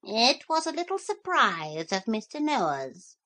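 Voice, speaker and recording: A woman's voice: on the high side, sing-song, measured pace, bright, forceful tone, pitched above the performer's own voice, heavily acted. A woman voicing a bossy, talkative parrot guide in a storybook reading. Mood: chatty.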